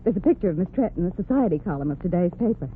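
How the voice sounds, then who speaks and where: A woman's voice: fairly low pitch, animated, very fast, slight background noise, lightly acted. An actress playing a friendly, chatty young department store saleswoman, in 1940s radio comedy style. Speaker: store clerk. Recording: tinny old radio broadcast.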